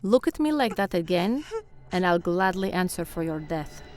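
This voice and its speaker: A woman's voice: lowish voice, animated, moderate pace, lightly acted. A woman playing a cold, commanding vampire crime boss in a radio play, speaking formally and with menace.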